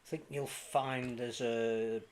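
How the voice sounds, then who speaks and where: A man giving informed, measured opinions as a rugby league pundit on a panel. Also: mid-range voice, flat delivery, darkish tone. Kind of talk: casual panel chat.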